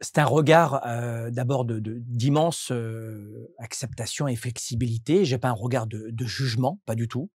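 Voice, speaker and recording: A man's voice: mid-range voice, moderate pace, few pauses, darkish tone, breathy edge, no room sound, studio quality. A man from France, a coach and entrepreneur, answering interview questions in a reflective, explanatory way with frequent restarts.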